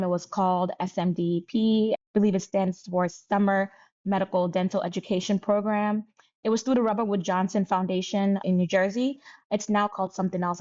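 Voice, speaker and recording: A woman's voice: medium pitch, darkish tone, highs cut off. A woman in her thirties, originally from Nigeria, talking as a guest physician in an interview, thoughtful and candid. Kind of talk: conversational interview.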